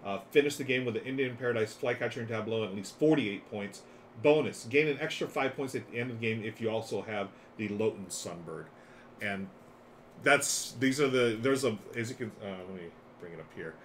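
A middle-aged man with a fairly low voice hosts a board game stream. He talks in a chatty, enthusiastic, off-the-cuff way, with clear noise in the recording. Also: fairly quick. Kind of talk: casual live-stream chat and game demonstration. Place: small room lined with board games. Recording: clear steady background noise.

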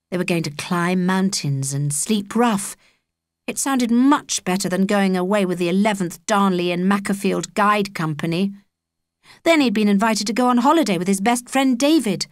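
A woman's voice: medium pitch, no echo, studio quality. A woman with a British accent reading a children's story as an audiobook narrator.

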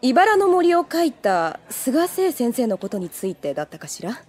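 Adult woman editor, amused and brisk, lively intonation, anime audio drama. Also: high voice. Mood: businesslike.

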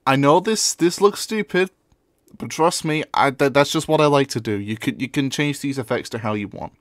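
A man talks through a software tutorial in a casual, offhand manner. His voice is recorded dry and close, with hardly any room around it. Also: medium pitch, normal speed, no echo. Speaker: tutorial presenter.

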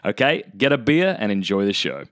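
A man's voice: on the low side, sing-song, fairly quick, fairly bright tone, no echo. Australian man hosting, close dry sound. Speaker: podcast host. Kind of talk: casual chatty interview talk.

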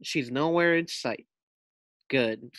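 A man's voice: mid-range voice, slow, fairly bright tone, no room sound. A man reading a children's picture book aloud as a teacher, expressively, with simple asides to young listeners.

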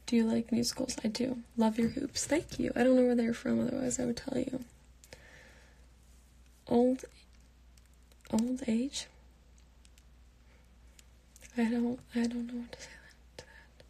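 A young woman in her late teens chats through a live Q&A, keeping her voice soft and half whispered. Her tone is breathy and mellow, with a gravelly edge at the ends of her phrases. She holds the phone at arm's length in her bedroom at night. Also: fairly high pitch, level tone, moderate pace, pauses often. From Pittsburgh, United States. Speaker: livestream host answering fan comments. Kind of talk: casual live Q&A chat. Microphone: phone held at arm's length.

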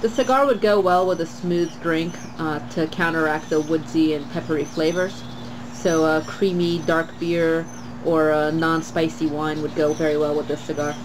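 Woman, 30s, lowish voice, calm cigar reviewer with halting pauses; noisy outdoor camera audio. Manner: calm, measured, with frequent "uh" pauses. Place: screened porch outdoors, trees behind.

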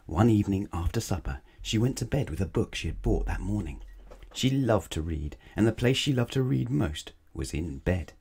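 A man's voice: fairly low pitch, lively intonation, normal speed, creaky, a little mellow, husky. A man reading a children's bedtime story aloud, dramatically, stretching and stressing words. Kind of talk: expressive read-aloud bedtime narration.

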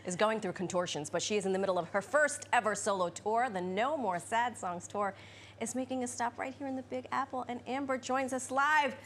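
A woman's voice: a little high, a little fast, slight background noise. A woman in her thirties co-hosting a TV studio show, upbeat and chatty. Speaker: TV co-host. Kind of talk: TV host introduction and chat.

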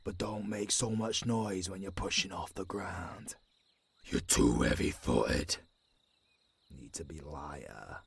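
A man playing a gruff, centuries-old werewolf mentor in an audio roleplay, with a British accent, a raspy voice and a stern, scolding manner. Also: extremely low pitch, exaggerated voice. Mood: gruff, grudging. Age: older. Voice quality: raspy, gravelly. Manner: stern, swearing, scolding drill-master.

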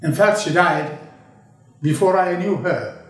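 An elderly man in his eighties, from Ethiopia, talks slowly and deliberately with a slightly raised pitch. He pauses often and restarts phrases as he reflects. The voice sounds distant in a very echoey room. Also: fairly bright tone. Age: 80s. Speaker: interviewee recalling his childhood. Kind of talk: oral history interview. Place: indoor studio with a draped backdrop.

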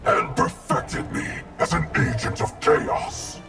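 An actor voicing a menacing, arrogant undead shadow ninja warlord in a video game, gravelly, with cold, imperious, clipped declarations. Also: male voice, slow, natural voice. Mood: haughty. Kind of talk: video game character dialogue. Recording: loud music under the voice. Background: loud game music.

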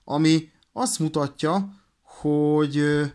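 A man explains things in an informal, halting way, thinking aloud as he presents a hands-on demonstration. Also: on the high side, a little mellow. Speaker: presenter of a radio equipment demonstration. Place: indoors at a desk.

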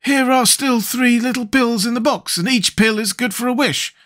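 A man's voice: very high voice, normal speed, fairly bright tone, pitched above the performer's own voice. A man voicing an earnest, fussy, critical living scarecrow in a storybook. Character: living male scarecrow, critical, protesting, humble about himself.

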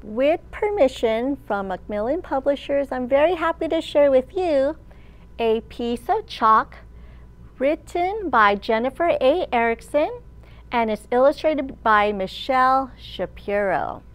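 A woman in her thirties, a children's librarian leading storytime with an animated, sing-song delivery for young children. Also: sits high, unhurried. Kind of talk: children's storytime read-aloud and teaching. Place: large open library room, indoors.

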